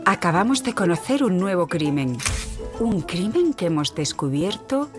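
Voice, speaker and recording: A woman's voice: lowish voice, very animated, normal speed, over music. A woman from delivering scripted podcast narration in a mock-serious, theatrical manner.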